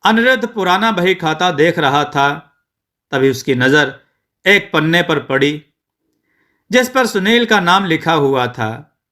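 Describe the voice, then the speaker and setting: A man narrating a scripted moral story in a measured, deliberate way, phrase by phrase. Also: on the high side, lively intonation, bright, forceful tone. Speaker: narrator of devotional moral stories.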